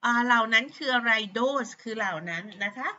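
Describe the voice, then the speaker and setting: A middle-aged woman teaches English words in her Thai accent, going slowly and repeating herself with many fillers. Her voice is bright and a little high. The recording sounds dull and lo-fi. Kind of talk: teaching vocabulary to pupils.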